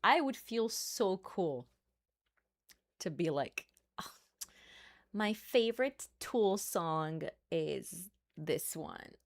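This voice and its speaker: A woman's voice: on the low side, expressive, drawn-out words, long pauses. A young woman with a Brazilian accent chatting casually on a live stream, laughing often and making playful asides.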